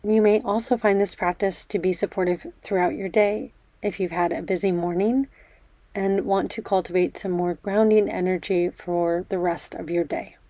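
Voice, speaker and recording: A woman leading a guided meditation in a gentle, measured, soothing way, leaving pauses between phrases. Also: medium pitch, steady tone, dry recording. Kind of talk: guided meditation, body scan.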